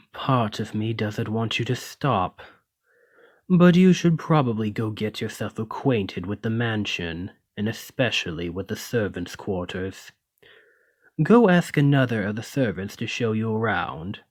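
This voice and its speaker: A haughty serpent nobleman, acted by a man for an ASMR roleplay, speaks at a slow, lordly drawl in a fairly low voice. His pompous, refined phrasing rises and falls widely as he condescends to and teases his servant. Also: expressive, no echo. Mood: softened, pleased. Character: haughty, teasing male lamia noble, half-serpent employer. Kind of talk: ASMR roleplay, scripted monologue to listener.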